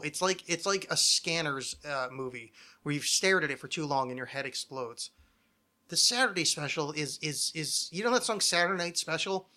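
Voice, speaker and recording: Male podcast host, forceful bright voice, sardonic, rambling, profane, casual comedic delivery. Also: fairly high pitch.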